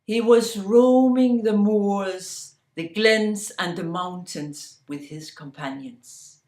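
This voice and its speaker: A woman telling a fairy tale slowly and deliberately, sometimes dropping to a hushed whisper. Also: medium pitch, echoey room. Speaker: storyteller. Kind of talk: oral fairy-tale storytelling.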